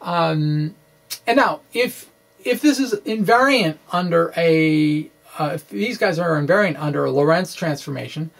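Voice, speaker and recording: A middle-aged man giving an informal, halting explanation to camera in an offhand, quirky manner. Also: on the high side, expressive, gravelly. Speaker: physics blogger presenting puzzle answers. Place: small indoor room with bookshelves. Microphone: microphone on a stand in front of him.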